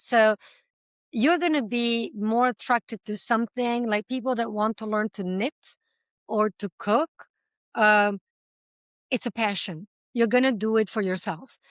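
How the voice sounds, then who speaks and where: A woman's voice: mid-range voice, unhurried, no echo. Passionate woman, dry close sound. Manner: passionate, talkative, uses everyday examples; speaks several languages. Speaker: language school founder, interview guest. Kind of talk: conversational interview answers.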